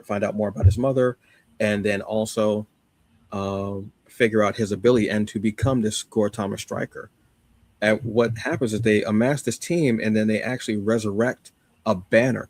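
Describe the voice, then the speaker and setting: A man with a fairly low voice talks casually on a podcast, recorded close and dry. He recommends things with enthusiasm and leans on slangy emphasis. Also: no room sound. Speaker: podcast co-host. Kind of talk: casual chat, giving recommendations.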